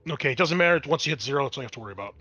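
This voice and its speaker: Bright-voiced man running a tabletop game. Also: medium pitch, expressive, very fast, dull audio. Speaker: game master. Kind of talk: running a tabletop role-playing game, improvised narration and rules talk.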